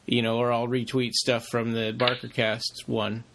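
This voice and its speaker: A man's voice: medium pitch, completely flat delivery, measured pace, vocal fry, background noise. A man co-hosting a podcast in a casual, chatty style. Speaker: podcast co-host.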